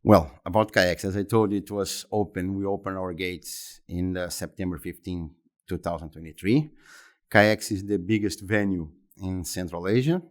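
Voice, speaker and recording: Man, Brazilian Portuguese accent, fifties, fairly low voice, halting, repeats himself, interview guest. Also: steady tone. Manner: halting, repeats words and restarts phrases. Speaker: interview guest, exhibition center executive. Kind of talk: conversational interview answers.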